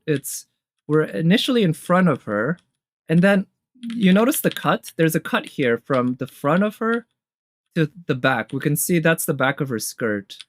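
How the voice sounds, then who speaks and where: A man giving casual live commentary as a streamer, enthusiastic and thinking aloud in fragments. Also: on the high side. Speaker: streamer reviewing game trailers. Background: game trailer music.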